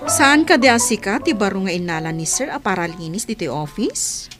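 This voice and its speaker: A woman's voice: medium pitch, lively intonation, brisk, over music, lightly acted. A woman playing a haughty female office superior in a comedy radio drama, scolding and reproachful.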